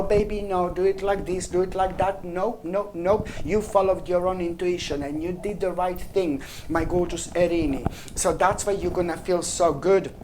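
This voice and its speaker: A woman's voice: lowish voice, background noise. A woman giving a weekly tarot reading, effusive and repetitive, calling the listener "my gorgeous" and "baby". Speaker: tarot reader.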